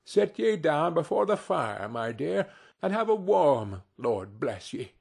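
A man voicing a warm-hearted, fussing working-class Victorian mother in a storybook, bustling and exclaiming in a homely way. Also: a little high, sing-song, slow, creaky, breathy edge, voice pushed up, heavily acted. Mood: affectionate fussing.

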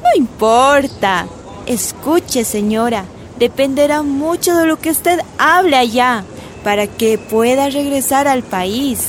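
An actress playing a smug government official in a radio drama, falsely sweet with menace underneath. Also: female voice, high-pitched, animated, slow pace, a little hiss or hum. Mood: veiled menace. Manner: falsely sweet, veiled threats.

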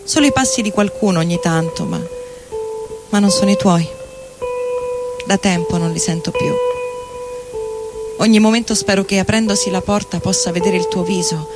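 A man's voice: sits high, moderate pace, long pauses, lightly acted, rough recording. A man acting a tormented middle-aged lover in an anguished, literary theatrical monologue. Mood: desolate, tormented. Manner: anguished, dramatic, literary delivery. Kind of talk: theatrical literary monologue reading over music. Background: electric guitar and loops.

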